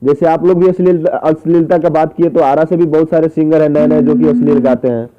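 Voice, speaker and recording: A man's voice: fairly high pitch, steady tone, brisk. A man, questioning someone insistently and repeating his phrases in a recorded phone interview. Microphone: phone call. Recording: muffled, dull phone call recording.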